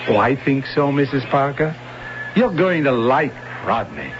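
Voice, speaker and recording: A man's voice: mid-range voice, very animated, a little slow. An actor playing an affable, hospitable married man in a radio drama. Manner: chatty, hospitable. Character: affable married man welcoming an orphan boy. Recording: muffled old radio recording, background noise.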